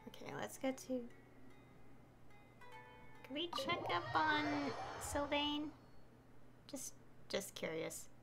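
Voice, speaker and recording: A woman streamer making quiet, offhand remarks while playing a game. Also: high voice, very animated, slow pace, creaky, pauses often, over loud music. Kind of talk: casual gameplay commentary.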